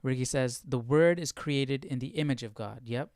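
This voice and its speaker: A man's voice: mid-range voice, normal speed, darkish tone, no room sound, clean studio sound. A man hosting a casual live Bible study, explaining as he thinks aloud and often posing questions. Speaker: livestream Bible study host.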